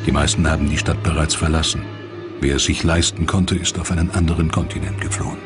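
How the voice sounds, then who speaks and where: Deep-voiced male documentary narrator over loud music. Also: steady tone, fast talker. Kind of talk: dramatic scripted documentary narration.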